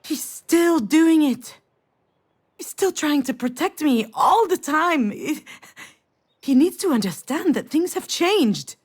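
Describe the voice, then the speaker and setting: An actress playing a grieving, self-reproaching woman in a video game drama, speaking in an earnest, reflective, halting way. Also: high voice, very animated, full character voice. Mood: ashamed, emotional. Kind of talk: dramatic visual novel voice acting with inner narration.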